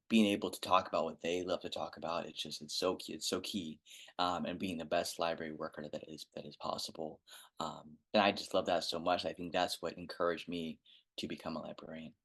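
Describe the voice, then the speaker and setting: A man with a very low voice talks as a podcast guest. He is quiet but eager, trails off and restarts often, and circles back to his point. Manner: enthusiastic, rambling with many restarts and fillers; says he has a quiet speaking voice. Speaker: guest librarian. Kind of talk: casual podcast interview.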